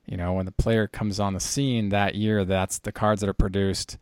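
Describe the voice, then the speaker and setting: A man's voice: on the low side, little pitch movement, moderate pace, darkish tone, no room sound, studio quality. A man hosting a casual hobby podcast, talking in a conversational, explanatory way. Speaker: podcast host.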